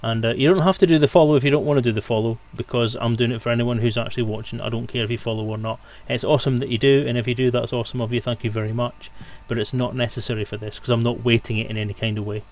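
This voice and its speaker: A man with an average-pitched voice chats casually as he hosts a live stream giveaway. His delivery is loose and tongue-in-cheek, with some swearing. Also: steady tone, very fast, few pauses, darkish tone. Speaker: stream host running a giveaway.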